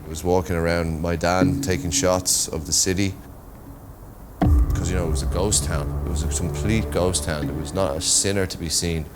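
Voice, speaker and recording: An Irish filmmaker narrates his own footage in a casual, reflective voice-over, dropping in plenty of "you know". His voice is very low and quite flat in tone. A clear music bed plays under him. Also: moderate pace. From Ireland. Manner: casual and conversational, with many "you know" fillers.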